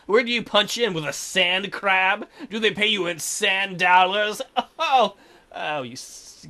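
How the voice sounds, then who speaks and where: A man's voice: high voice, sharp tone. A man doing a let's play of a visual novel, reading it out and throwing in irreverent, crude wisecracks. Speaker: let's player.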